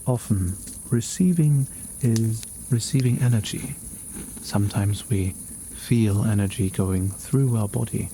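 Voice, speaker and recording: A man playing a gentle, caring mage in an ASMR fantasy roleplay, speaking softly and soothingly and often dropping toward a whisper. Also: fairly low pitch, slight background noise, poor audio. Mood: calm, instructive. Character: gentle, caring male mage healing a weary traveler. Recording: faint nighttime cricket ambience.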